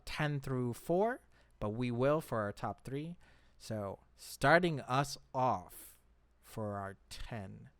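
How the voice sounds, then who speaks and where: A man's voice: medium pitch, a bit bright, clear, resonant tone, dry recording. A man hosting a podcast in a casual, conversational style. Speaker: podcast host. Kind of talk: casual podcast discussion of movies.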